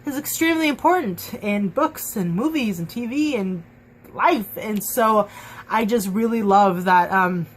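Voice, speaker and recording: A young woman chatting excitedly in a casual book review vlog, full of fillers and restarts. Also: mid-range voice, measured pace. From Mexican and Cuban heritage.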